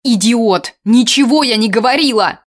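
A woman voicing an indignant, sharp-tongued woman who argues back in an audiobook story. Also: fairly low pitch, animated, moderate pace, lightly acted. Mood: outraged. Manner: outraged exclamations and pointed questioning.

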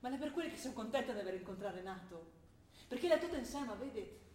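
A woman's voice: on the high side, expressive, darkish tone, natural voice. A woman playing a fervent young revolutionary. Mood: earnest. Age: young adult. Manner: earnest, quick, rising to fervent argument. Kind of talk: stage play acting. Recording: roomy stage recording with faint noise.

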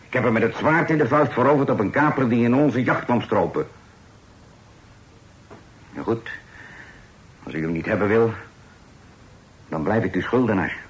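An actor in a radio play voicing a proud sailor turned outlaw, speaking in a low, earnest and emphatic way. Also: male voice, moderate pace, long pauses, close to an everyday voice, low-quality recording. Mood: defiant.

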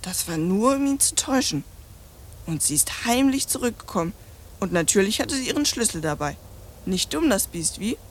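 A woman's voice: medium pitch, sing-song, moderate pace, slight background noise, close to an everyday voice. Woman playing a cheeky, streetwise, precocious girl. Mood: gleeful storytelling. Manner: bossy, chatty, slangy storytelling. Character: cheeky, streetwise, precocious young French girl, bluntly scornful. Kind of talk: radio play acting.

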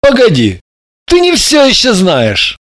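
A man's voice: high voice, wildly swooping pitch, a little slow, a bit bright, no echo, studio quality, voice pushed up, exaggerated voice. A man voicing a cocky, condescending young man with a smug drawl, in an audiobook.

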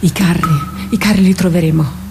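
A woman's voice: fairly low pitch, fairly even intonation, fairly quick, background noise, close to an everyday voice. A woman playing an anxious, urgent female warrior in an Italian radio drama. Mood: feverish, plotting.

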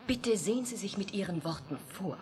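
A woman's voice: mid-range voice, animated, normal speed, a little mellow, lightly acted. An actress playing an artificially created young woman, cool and logical, with formal, literal speech in a radio drama. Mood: cool, puzzled. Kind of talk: 1980s German radio drama acting.

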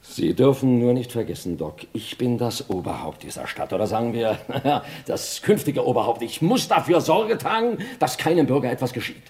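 An actor in a vintage radio play, playing a pompous, easily flustered town official who blusters and hesitates. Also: male voice, medium pitch, sing-song, normal speed, hardly any pauses, darkish tone, close to an everyday voice.

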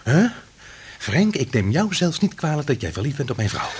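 An actor playing an obsessed, reckless scientist in a radio drama, husky-voiced, grandiose and excitable. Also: male voice, on the high side, moderate pace, slight background noise. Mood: fanatical, grandiose. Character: obsessed, reckless male scientist drunk on his invention.

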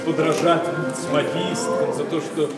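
Actor as sardonic young gentleman, high, far off. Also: fairly even intonation, moderate pace, close to an everyday voice. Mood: mocking. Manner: mocking, declamatory. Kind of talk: classic verse comedy stage acting. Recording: far-off hall with loud music.

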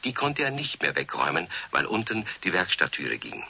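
A man narrating a scripted children's radio story in an expressive storytelling manner. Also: low-pitched, moderate pace, gravelly, slightly rough.

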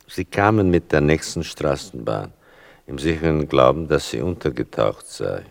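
An actor playing a son who narrates a radio play about his mother in a measured, dryly ironic, gravelly voice. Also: rumbling low, lively intonation, no echo, exaggerated voice. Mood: wry, fond.

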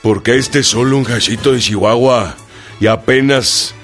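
A man's voice: medium pitch, moderate pace, fairly bright tone, faint music behind, close to an everyday voice. A Mexican man acting in a radio drama as a bold, exultant revolutionary commander, rousing and declamatory. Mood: triumphant.

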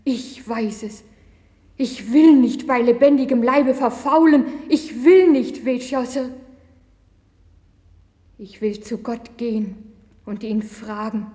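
A woman's voice: on the high side, slow, long pauses, dark tone. Woman acting a despairing young woman, long reverb. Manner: anguished, pleading, dramatic. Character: despairing young Chinese woman with leprosy, losing her faith. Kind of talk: religious audio drama acting. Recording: long hall reverb, vintage vinyl record.

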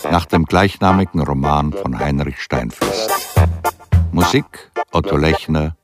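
A man's voice: extremely low pitch, unhurried. A man announcing the title and credits of a radio drama in a scripted style. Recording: loud music behind the voice.

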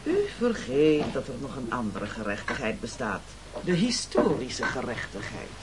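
An actor playing a domineering castaway leader in an absurd radio play, formal, pompous and accusatory, on a clicky old recording. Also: male voice, medium pitch, sing-song, normal speed, very noisy, natural voice. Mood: pompous lecturing.

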